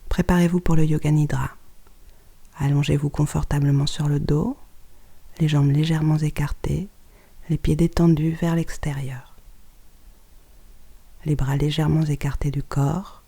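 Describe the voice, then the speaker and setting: A guided relaxation voice with a dark, mellow tone and a husky, breathy edge. The pitch sits in a middle range. The guide speaks softly and calmly, close to a whisper, giving short scripted instructions separated by pauses. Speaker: yoga nidra guide.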